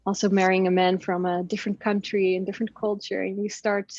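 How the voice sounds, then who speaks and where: A woman's voice: mid-range voice, flat delivery, brisk, a little mellow, no echo, dull audio. A woman whose first language is Dutch, talking in a casual interview with many fillers and frequent laughs. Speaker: podcast guest. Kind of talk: casual conversation.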